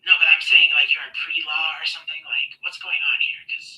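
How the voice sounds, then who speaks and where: A man's voice: fairly high pitch, flat delivery, fairly quick, pushed and bright, recorded from a distance, lo-fi sound. A man hosting a casual online debate, sarcastic and mocking, rambling with fillers.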